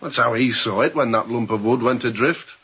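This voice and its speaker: An actor playing a youngish, sceptical ship's mate in a radio drama, with a smooth, sardonic, probing manner. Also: male voice, mid-range voice, fairly quick, fairly bright tone, lo-fi sound, close to an everyday voice.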